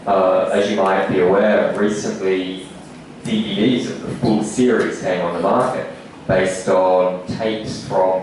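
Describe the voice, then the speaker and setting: A man's voice: lowish voice, darkish tone. A man in an audience asking a polite, wordy question. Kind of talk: polite discursive question from the floor. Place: echoey indoor lecture room. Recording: very echoey room, distant mic, faint noise.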